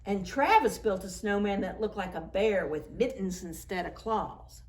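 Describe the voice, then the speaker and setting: A woman doing an expressive, playful picture-book read-aloud for preschool children. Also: medium pitch, moderate pace. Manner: playful, acts out sound words. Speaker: children's storytime reader.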